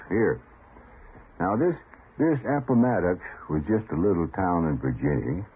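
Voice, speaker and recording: A deep, husky country American storyteller, rambling along in a folksy radio monologue. His pitch moves a lot, and the old recording is thin and phone-like. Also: exaggerated voice. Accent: rural American. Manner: folksy, hesitant, conversational. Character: folksy, rambling stamp-collecting history storyteller.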